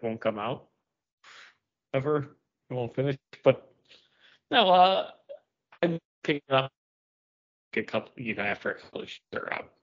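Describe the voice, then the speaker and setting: A man's voice: mid-range voice, highs cut off. A man co-hosting a podcast with casual, opinionated talk about comics. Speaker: podcast co-host. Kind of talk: casual comic book chat.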